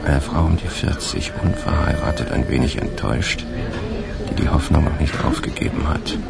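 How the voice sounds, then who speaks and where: A man's voice: rumbling low, moderate pace, vocal fry, full character voice. An actor playing a weary hunted police officer, confiding his diary in brooding, clipped phrases in a radio drama. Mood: tense, weary. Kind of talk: radio drama first-person narration. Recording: loud music under the voice.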